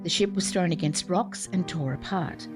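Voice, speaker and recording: A woman giving clear, even, scripted narration for a series of historical radio plays. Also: on the low side, moderate pace. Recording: music playing behind. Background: music.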